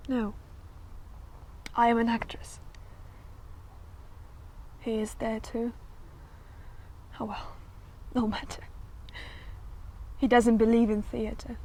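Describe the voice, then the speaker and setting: Casting: woman to play wounded young actress in classic stage drama, high voice, slow halting delivery, fragile but fervent. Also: little pitch movement, darkish tone, slight background noise. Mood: weary, anguished, then downcast, wistful. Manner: halting, distracted, emotionally wavering.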